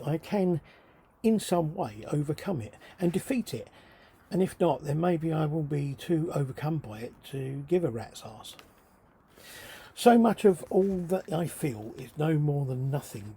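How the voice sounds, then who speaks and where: Husky-voiced man reading dark spoken word. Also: a little high. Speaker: writer reading own work.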